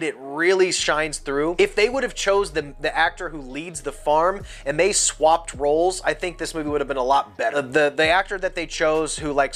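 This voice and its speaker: A man's voice: a little high, fairly bright tone. A man in his thirties giving a casual, candid movie review at home, seated at a desk and talking straight to the camera. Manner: chatty and candid, gestures with both hands. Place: home room lined with movie shelves.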